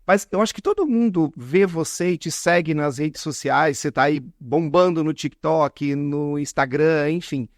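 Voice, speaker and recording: A man with a Brazilian accent hosts a conversational interview. His voice sits a little high for a man and is recorded very dry. He talks in a chatty, informal way and readily pays compliments. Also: moderate pace, hardly any pauses, no room sound. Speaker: podcast host.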